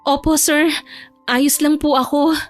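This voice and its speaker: A woman's voice: high-pitched, fairly even intonation, measured pace, soft background music, pitched above the performer's own voice. A woman voicing a humble, polite, principled young working woman in a Tagalog audio drama. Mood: nervous.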